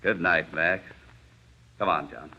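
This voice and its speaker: Slow, sharp-edged, taunting cop. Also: male voice, deep voice, heavily acted. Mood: mocking. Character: mocking, hard-boiled policeman taunting a prisoner. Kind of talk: old-time radio crime drama acting. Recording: muffled, distant old radio recording.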